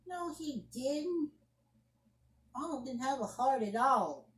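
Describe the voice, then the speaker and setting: A woman's voice: high voice, expressive, slow pace. A woman playing an indignant young woman scolding someone over the phone in an amateur solo drama, emphatic and repeating her phrases. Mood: emphatic, reproachful. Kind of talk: amateur solo acted monologue, one side of a phone argument. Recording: roomy echoing home recording.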